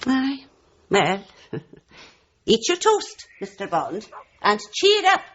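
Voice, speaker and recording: A woman's voice: medium pitch, huge pitch swings, takes it slowly, muffled recording, full character voice. A woman playing a chatty, bossy, motherly older housekeeper in a radio drama, fussing and indignant. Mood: brisk, fussing.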